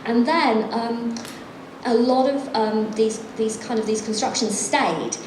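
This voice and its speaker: A woman's voice: on the high side, takes it slowly, echoey room, noisy background. A middle-aged woman author reading from her book and then chatting, self-deprecating and laughing often. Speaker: author and guest. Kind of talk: reading aloud then conversation. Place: event venue.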